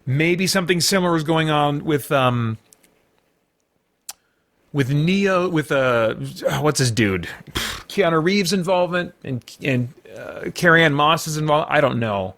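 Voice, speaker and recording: Rambling man, slightly high voice. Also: animated, a bit bright. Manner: rambling, emphatic, halting with repeated false starts. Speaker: podcast host and film reviewer. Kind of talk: unscripted movie review commentary.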